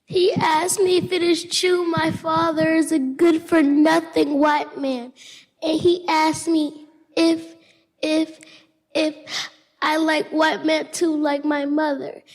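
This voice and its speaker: Woman playing high-voiced, hurt schoolgirl. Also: little pitch movement, takes it slowly, faint music behind. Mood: hurt, plaintive. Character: hurt young Grenadian schoolgirl confiding in her elder. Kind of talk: dramatized stage reading of fiction. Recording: reverberant recital hall.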